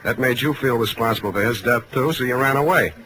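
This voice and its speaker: An actor playing a blunt, tough, wisecracking private detective in terse 1940s radio drama style, on a noisy old recording. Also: male voice, medium pitch, fast talker, natural voice. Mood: firm, probing. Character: hard-boiled, blunt private detective, a grown man.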